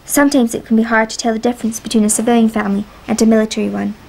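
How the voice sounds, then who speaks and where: A woman narrating her own documentary in a calm, reflective way. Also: fairly high pitch, very fast, vocal fry, heavy background noise. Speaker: narrator and filmmaker. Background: music.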